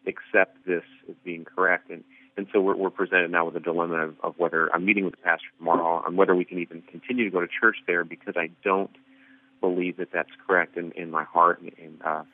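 A man with a very low voice, calling in to a radio show over a tinny phone line. He speaks haltingly and stumbles through restarts as he lays out his question. The line sounds dry and thin. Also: level tone, dry recording. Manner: hesitant, halting, many fillers and restarts. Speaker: caller. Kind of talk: call-in question.

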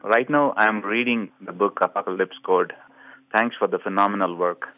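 A man's voice: on the low side, moderate pace, dry recording. A man calling in to a radio show, asking his questions in a hesitant, polite way. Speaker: caller. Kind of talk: phone-in question. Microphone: telephone. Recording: tinny phone line.

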